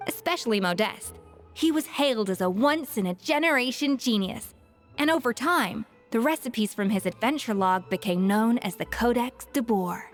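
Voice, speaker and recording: A self-assured, exacting woman chef from a video game voiced by an actress. The voice is fairly high, with haughty, precise diction and a lecturing manner over background music. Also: animated, normal speed, natural voice. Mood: storytelling.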